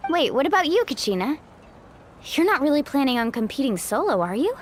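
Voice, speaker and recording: A woman's voice: sits high, sing-song, a little fast, slight background noise. A woman voicing a confident, encouraging young woman in a game, upbeat and reassuring. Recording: game audio with faint music.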